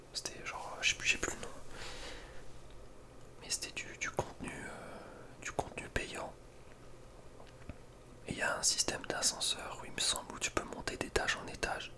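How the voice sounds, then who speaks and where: A man delivers ASMR ranking commentary entirely in a whisper. He is close to the microphone and speaks informally, with plenty of slang and hesitant asides. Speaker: ASMR content creator.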